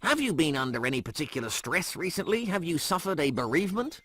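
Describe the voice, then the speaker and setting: An officious medical machine with a salesman's patter, acted out by a man reading aloud. The voice is a little rough and swings widely in pitch, delivering formulaic announcements in a dry, close recording. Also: very animated, quick pace, bright, forceful tone, dry recording, full character voice. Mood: bland, officious.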